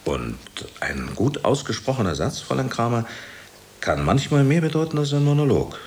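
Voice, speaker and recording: An actor playing a jovial, flattering theatre director who talks down to a beginner with hesitant pauses and chuckles, in radio drama style. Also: male voice, medium pitch. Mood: flattering, paternal. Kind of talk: German radio drama acting.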